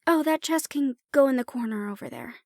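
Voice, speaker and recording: A woman acting as an affectionate, teasing woman in a fantasy roleplay audio drama. Her voice is high and soft, close and very dry. She talks intimately to her partner, unhurried, leaving pauses. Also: no echo. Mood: cheerful, chatty. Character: affectionate, teasing human woman, dragon's partner and healer shopkeeper.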